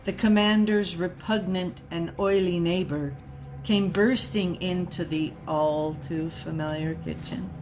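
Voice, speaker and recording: A woman reading a comic story aloud in a dramatic, mock-serious, deliberate storyteller's manner. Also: lowish voice, darkish tone, slight background noise, low-quality recording.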